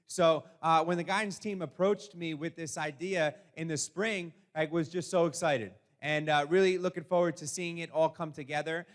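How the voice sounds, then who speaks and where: A man with a slightly high voice gives an informal welcome as a school administrator. His delivery is hesitant and halting, as if finding the words while speaking to the gathering. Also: fairly even intonation. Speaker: school administrator opening an event. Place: school meeting with an audience.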